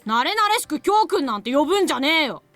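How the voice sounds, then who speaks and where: A woman voicing a sulky, grumbling young boy in a visual novel, brusque and rough-spoken. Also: sits high, sing-song, racing, a bit bright, no echo, soft background music. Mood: sulky, annoyed. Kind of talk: Japanese anime visual novel acting.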